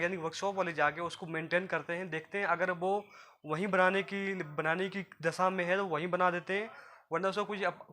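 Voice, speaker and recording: High, bright-voiced man explaining. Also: a little hiss or hum. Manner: repetitive, explanatory, often addressing listeners as friends. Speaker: presenter of a job guidance video. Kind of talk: informal explainer addressed to viewers.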